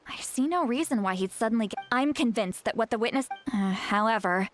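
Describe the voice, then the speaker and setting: A kindly elder shaman with a youthful, fairly high voice, acted by a woman for an anime-style game. She muses conversationally, a little hesitant and self-conscious. Music plays behind her. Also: lively intonation, brisk, natural voice. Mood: earnest.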